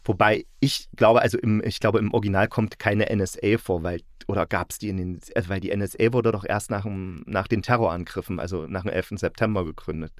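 A man's voice: lowish voice, fairly even intonation, brisk, hardly any pauses, no room sound, clean studio sound. A man hosting a podcast, talking casually in a conversational, unscripted way. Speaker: podcast host reviewing radio plays.

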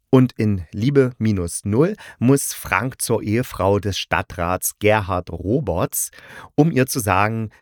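Male podcast reviewer, lowish voice, chatty and explanatory, close dry sound. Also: no echo. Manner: conversational, explanatory, addressing listeners directly. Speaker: podcast host and radio drama critic.

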